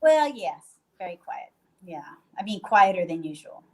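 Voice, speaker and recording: A woman's voice: mid-range voice, sing-song, normal speed. A woman from Puerto Rico talking casually on a video call from a guesthouse bedroom, loose and chatty with many fillers and restarts. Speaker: guest, hostel owner.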